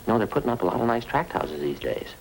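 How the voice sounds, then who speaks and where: A man's voice: mid-range voice, big pitch swings, fast, vocal fry, a little mellow, rough recording. A man playing a talkative, homely American police detective, folksy and conversational, in 1950s radio style. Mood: coaxing, friendly. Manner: chatty, folksy. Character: talkative, homely married police partner, fussing over his friend. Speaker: supporting actor in a police radio drama. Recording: old radio recording with faint noise.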